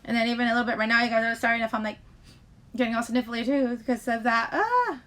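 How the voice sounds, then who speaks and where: Bright, high-voiced woman chatting on a stream. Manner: halting, wandering, often breaks off mid-sentence. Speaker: live stream host chatting with viewers. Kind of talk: casual rambling chat with live viewers.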